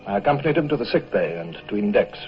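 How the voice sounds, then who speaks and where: An actor playing a dry, resolute British naval commodore in an old-time radio drama, formal, clipped and authoritative. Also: male voice, fairly low pitch, sing-song, fast, breathy edge, highs cut off, faint music behind, heavily acted. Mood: reflective narration. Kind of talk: old-time radio drama acting and first-person narration.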